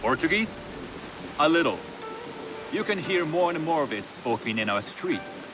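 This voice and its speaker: A proud, measured young merchant's heir. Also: male voice, fairly high pitch, sing-song, over music. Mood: confident, measured. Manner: formal, measured, businesslike. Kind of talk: video game cutscene acting.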